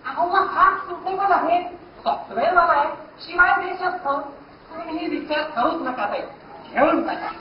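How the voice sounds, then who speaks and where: A man's voice: extremely high pitch, normal speed. A man playing a pushy salesman in a comic stage play, hawking his goods with wheedling patter. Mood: pushy, haggling. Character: pushy male street-style salesman hawking grooms like goods. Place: theatre stage. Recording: noisy muffled echoey stage, distant mic.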